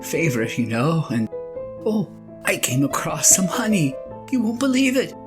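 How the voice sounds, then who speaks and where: A woman playing a sheepish, excitable eighty-year-old shepherd husband in an audio drama monologue, stammering and halting as he talks to his wife. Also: sits low, music in the background. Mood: sheepish, affectionate. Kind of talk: audio drama monologue, one side of a conversation.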